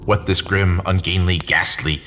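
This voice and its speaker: Low-voiced man in a dramatic gothic reading. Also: steady tone, unhurried, bright, forceful tone. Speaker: narrator, poetry reader. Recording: clear background noise and ambience.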